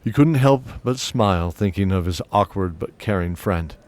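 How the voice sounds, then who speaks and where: Low-voiced man narrating fantasy. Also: expressive, moderate pace, a little mellow.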